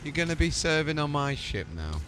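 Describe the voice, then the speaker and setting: A man's voice: fairly high pitch, sing-song, fairly quick, noisy background. A man in casual role-play chat as a game streamer playing a ship commander.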